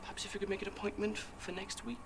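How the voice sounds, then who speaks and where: An actor playing a timid mayor's assistant in a television drama, polite, apologetic and stammering. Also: male voice, high voice, level tone, very fast. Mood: flustered. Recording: noisy TV soundtrack, quiet.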